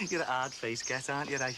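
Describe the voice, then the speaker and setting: A man's voice: medium pitch, sing-song, moderate pace, lightly acted. An actor playing an embittered working-class father who turns sarcastic and accusing. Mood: bitter. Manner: bitter, sarcastic, rising to shouting. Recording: background noise in a drama scene.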